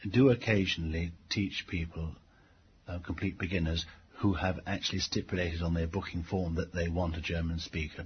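A middle-aged man giving reflective interview answers as a teacher, speaking haltingly with frequent pauses. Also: sits low. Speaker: English language teacher being interviewed. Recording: dull lo-fi tape, faint background noise.

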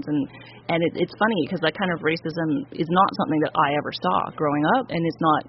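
A woman's voice: deep voice, slight background noise. Thoughtful Canadian woman, muffled radio audio. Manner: thoughtful, frequent hesitations. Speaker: novelist interviewee. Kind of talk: conversational interview answers.

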